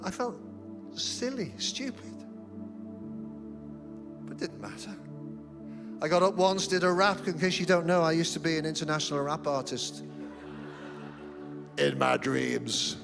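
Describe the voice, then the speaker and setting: A man's voice: on the high side, animated, measured pace, creaky, long pauses, over music. A middle-aged man preaching a sermon as senior pastor in a church, telling humorous, self-deprecating stories. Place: church auditorium.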